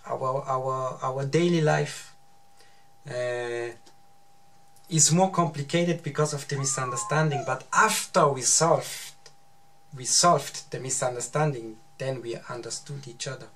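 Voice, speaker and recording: A man's voice: medium pitch, a little slow, a bit bright. A man in his thirties whose first language is Swiss German, speaking English in a casual chat and hesitating over his words. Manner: hesitant, searching for words, repeats himself. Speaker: husband guest. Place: small bedroom, indoors.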